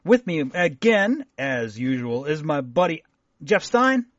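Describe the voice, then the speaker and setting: A man's voice: on the high side, sing-song, takes it slowly, dry recording. A man hosting a podcast, opening the show in an upbeat way and introducing his co-hosts. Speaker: podcast host. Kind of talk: casual podcast chat. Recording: dry, dull lo-fi sound.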